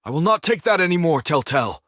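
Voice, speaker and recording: A man's voice: a little high, big pitch swings, quick pace, a bit bright, no room sound, clean studio sound. A man playing a pompous, condescending owl politician with a menacing streak in an audio play. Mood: angry. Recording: music behind the voice.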